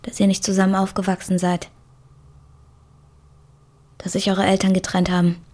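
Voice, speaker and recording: Troubled young woman, medium pitch, acted. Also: monotone, normal speed, mellow, close to an everyday voice. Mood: apologetic, vulnerable. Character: troubled, hard-drinking young woman with a scar. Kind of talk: German radio drama dialogue.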